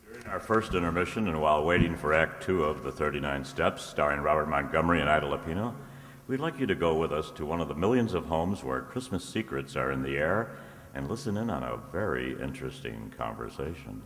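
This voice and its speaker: Very deep-voiced male radio announcer. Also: expressive, a little fast, a bit bright. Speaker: announcer and narrator. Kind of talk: scripted 1940s radio announcing. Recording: echoey hall, long reverb.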